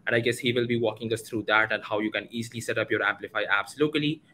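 A young man with an Indian accent hosts a livestreamed meetup in a casual, informal way. His voice is bright and forward. He is on a headset at home, with some faint noise behind him. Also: lowish voice, steady tone, fast. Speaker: host of a community meetup. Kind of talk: casual livestream event introduction. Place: home room, indoors, video call.

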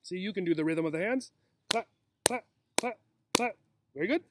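A man's voice: high-pitched, lively intonation, normal speed, heavy background noise. A man in his forties reading a picture book aloud as a teacher, with simple, encouraging talk for young children. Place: outdoors in a grassy yard. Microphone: camera or laptop mic at about arm's length.

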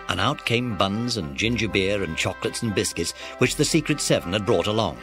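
A man narrating a children's audiobook as a scripted storyteller. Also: lowish voice.

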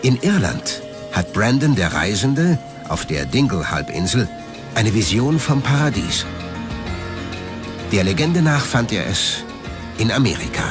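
A man narrates a documentary from a script. His voice is fairly low and moves through lively swings of pitch, with music clearly playing underneath. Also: measured pace, pauses often.

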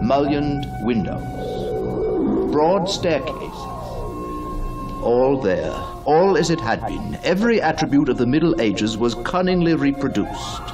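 An actor in a mid-century radio drama playing a cultured, inquisitive gentleman heir, speaking in a formal, measured, theatrical way. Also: mid-range voice, rough recording. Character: cultured, inquisitive gentleman heir restoring his ancestral home. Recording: old radio drama recording with music behind.

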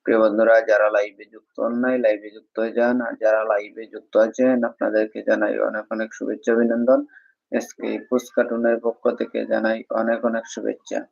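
A man with a gravelly, fairly flat voice addresses livestream viewers. He speaks in repeated, formulaic greetings and appeals as the host. The sound is dry and somewhat dull, like lo-fi stream audio. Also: fairly low pitch, fast, no room sound.

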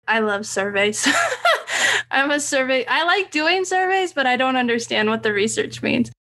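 A woman's voice: high voice, expressive, normal speed, sharp tone. An American woman in her thirties hosting a podcast, chatting casually over a video call from a room at home. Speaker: podcast host. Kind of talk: casual conversational interview.

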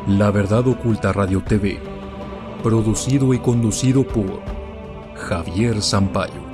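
Deep-voiced announcer over music. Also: male voice, a little slow. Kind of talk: scripted dramatic show intro narration. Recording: voice over prominent music bed.

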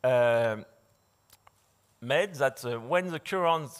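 A man lecturing in English, which is not his first language, in a large dark hall. He speaks through a podium mic, with many fillers and restarts. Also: medium pitch, takes it slowly, clear, resonant tone. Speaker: conference speaker. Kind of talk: slide lecture.

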